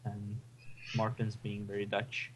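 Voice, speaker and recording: A man's voice: fairly low pitch, unhurried, slight background noise, low-quality recording. A young man from Sweden with a Swedish accent, chatting and rambling casually while playing a game. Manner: rambling, relaxed, with restarts. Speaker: game streamer.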